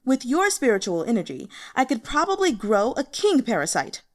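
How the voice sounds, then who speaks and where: A woman's voice: fairly high pitch, big pitch swings, moderate pace, pitched above the performer's own voice. Woman voicing a quarrelsome, indignant fantasy sect elder. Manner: indignant, insulting. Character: quarrelsome, aggrieved male sect elder with bug parasites.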